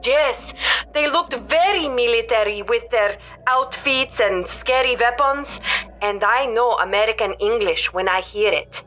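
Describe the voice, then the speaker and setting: Upset lovesick little sister, high sharp voice, touchy n exasperated, audio drama. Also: female voice, measured pace, faint music behind. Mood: upset, insistent. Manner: emotional, exasperated. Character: distraught, lovesick younger Russian sister, touchy and insistent.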